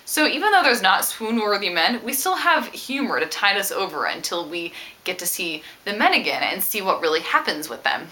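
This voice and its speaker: A woman with a bright, forward voice talks through a book in a casual vlog. Her pitch moves around a lot, and she is chatty and emphatic as she works through her opinions. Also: big pitch swings, moderate pace, low-quality recording. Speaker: book vlogger. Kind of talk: casual book discussion to camera.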